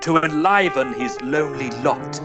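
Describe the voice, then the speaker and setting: An actor playing a scheming middle-aged gentleman suitor in radio melodrama, florid, obsequious and overwrought. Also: a little high, normal speed, over music, close to an everyday voice. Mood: ingratiating. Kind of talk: old-style radio theatre melodrama acting.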